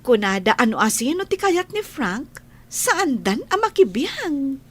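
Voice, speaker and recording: A cheerful young best friend in an audio drama. Her voice is very high and unhurried, with a playful, encouraging way of talking. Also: very animated. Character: cheerful, teasing young woman, a close friend. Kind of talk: radio drama acting.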